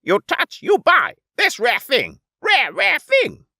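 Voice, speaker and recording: Man doing shrill little alien hagglers. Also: wildly swooping pitch, slow, vocal fry, no echo, studio quality, exaggerated voice. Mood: pushy. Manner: broken pushy sales talk, shouting. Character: small stumpy alien traders, shrill and pushy.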